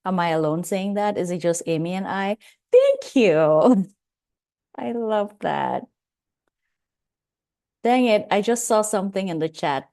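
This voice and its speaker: A woman's voice: medium pitch, expressive, a little slow, long pauses, dark tone. A woman from Cameroon with a Cameroonian accent, giving a chatty, personal webinar presentation over a video call. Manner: chatty, personal, asides to the audience. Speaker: guest presenter, pharmacist.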